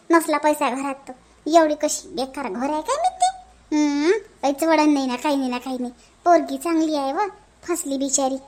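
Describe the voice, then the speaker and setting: A woman's voice: sits high, lightly acted. A woman voicing a blunt, gossipy village auntie in a family cartoon, speaking with a Varhadi Marathi accent. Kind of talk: animated family comedy dialogue.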